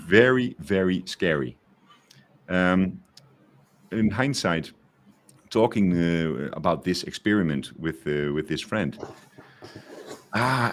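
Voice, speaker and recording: A man co-hosting a podcast who talks in a hesitant, searching way, with many fillers and restarts. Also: deep voice. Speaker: podcast co-host. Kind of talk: casual conversation.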